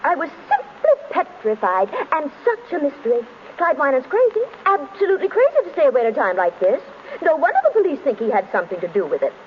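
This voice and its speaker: A woman's voice: sits high, big pitch swings, fast, few pauses. An actress playing a gushing, scheming society mother with a theatrical, scolding manner in an old radio drama. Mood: fretful, dramatic. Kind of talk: 1930s radio drama acting. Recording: dull, noisy old radio recording.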